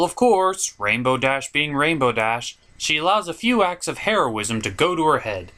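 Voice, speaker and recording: A man's voice: a little high, bright, forceful tone. A man hosting a comedic cartoon review, narrating from a script in a theatrical, playful way. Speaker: host and reviewer.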